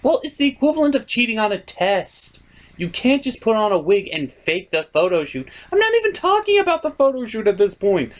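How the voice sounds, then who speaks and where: A man's voice: sits high, very animated, brisk, pitched above the performer's own voice. A man voicing an argumentative, exasperated female puppet sidekick in a comedic review. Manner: emphatic, exasperated bickering.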